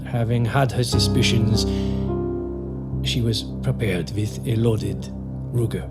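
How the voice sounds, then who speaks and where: Man acting courteous German lawyer over music. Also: lowish voice. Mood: grave, hesitant. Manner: formal, halting word searches. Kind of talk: audio drama acting.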